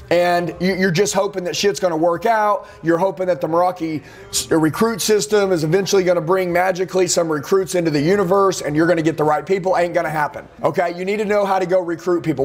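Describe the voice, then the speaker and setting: A middle-aged man coaching salespeople, blunt and intense, acting out recruiting conversations as examples. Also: sits high. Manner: intense, blunt, preachy with role-play. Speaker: sales trainer. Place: dark studio office with neon sign.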